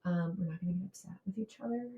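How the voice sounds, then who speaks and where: A woman's voice: on the low side, rapid-fire, dark tone, roomy sound, dull audio, slight background noise. A woman co-hosting a podcast in casual chat, upbeat and encouraging toward listeners. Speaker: podcast co-host.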